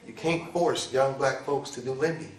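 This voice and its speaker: A man's voice: medium pitch, normal speed. A man in an informal panel talk, emphatic and plain-spoken, with preacherly asides. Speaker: dance scholar and panel speaker. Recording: echoey hall, distant mic.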